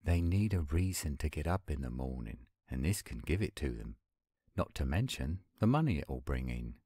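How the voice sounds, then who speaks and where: A man acting an earnest young werewolf pack leader in a roleplay audio drama, speaking in a measured way. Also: rumbling low, big pitch swings, warm tone, husky, no room sound, studio quality, full character voice. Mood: earnest, hopeful.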